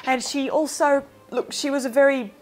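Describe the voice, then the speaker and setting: A woman's voice: high-pitched, steady tone, normal speed, a little hiss or hum. A woman giving an informal, opinionated film review in a chatty, emphatic way.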